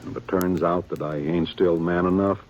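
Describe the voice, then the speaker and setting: An actor playing a weary, dutiful aging small-town sheriff with a plain folksy drawl in a 1950s radio drama. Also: male voice, deep voice, steady tone, normal speed, darkish tone, muffled recording, lightly acted. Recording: old radio broadcast with faint hiss.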